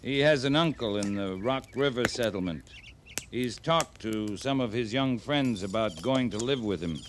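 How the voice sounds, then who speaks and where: A male actor portrays an earnest, steady frontier cavalry officer in a 1950s radio western. His mid-pitched voice is plainspoken and deliberate. A little noise marks the vintage radio recording. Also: natural voice. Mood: focused, businesslike.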